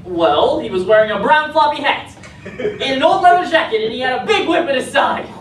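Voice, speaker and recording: A teenage girl on stage playing an imaginative young boy who tells tall stories in an excitable, emphatic way. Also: high voice, a little fast. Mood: earnest storytelling. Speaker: student performer in reader's theatre. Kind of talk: theatrical stage storytelling. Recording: echoey stage, distant mic, noisy audience.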